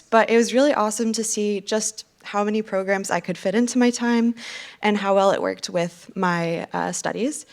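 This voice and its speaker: Upbeat young woman giving a talk. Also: mid-range voice. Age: 20s. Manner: casual, upbeat, with frequent ums. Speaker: student speaker. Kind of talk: presentation at an info session.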